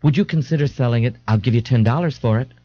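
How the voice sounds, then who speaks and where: A man's voice: medium pitch, fast, dark tone, no echo, dull audio, close to an everyday voice. A man voicing an elegant young dandy with an affected, arch manner in an audiobook. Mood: gushing, breezy.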